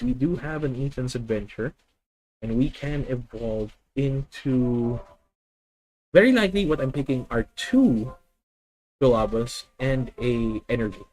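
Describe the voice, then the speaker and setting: A man with a Filipino accent talking through his plays on a live stream. He reasons out loud in a methodical way, often stopping to correct himself mid-sentence. His voice sits at an ordinary middle pitch. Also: expressive, normal speed, rough recording. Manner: thinking aloud, methodical, self-correcting. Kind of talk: live deck-test commentary.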